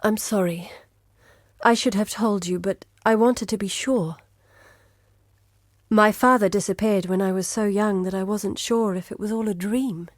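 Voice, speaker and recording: An actress plays a determined woman guide in a dramatic fantasy game. Her voice sits at a medium female pitch, with clearly articulated, serious phrasing. The sound is dry, with no room around it. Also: unhurried, no echo, lightly acted. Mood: sorrowful, subdued. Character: earnest, determined woman guide searching for her lost father. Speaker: game character voice. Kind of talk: dramatic video game voice acting.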